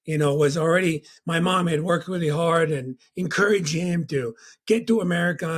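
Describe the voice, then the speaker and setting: A middle-aged man from Tonga telling his life story as an interview guest, reflective and warm, with a constant "you know.". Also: a little high, husky.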